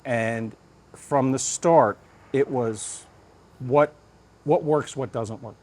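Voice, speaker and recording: Guy getting interviewed, mid pitch, thinks out loud in short deliberate bits, casual talk. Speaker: fire lieutenant being interviewed. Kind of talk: conversational interview.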